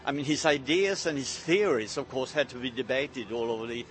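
A man recalling someone in a thoughtful way, pausing and restarting. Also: mid-range voice, a little hiss or hum. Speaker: interviewee. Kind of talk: spoken recollection. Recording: music bed behind voice.

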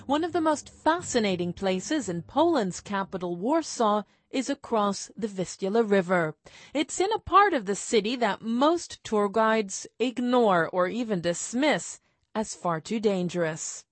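A woman radio presenter with brisk, playful scripted links between items. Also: mid-range voice, no echo.

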